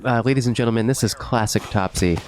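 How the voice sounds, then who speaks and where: A man's voice: medium pitch, quick pace, crowd noise. A man co-commentating on a video game stream in a mock-formal golf sportscast style, with dry, playful understatement.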